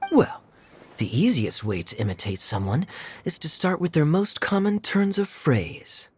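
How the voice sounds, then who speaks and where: A man voicing a suave young gentleman thief in a game, smooth-talking and playfully teasing. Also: a little high, very animated, measured pace, slight background noise. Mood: playful, reassuring. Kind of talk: game voice acting.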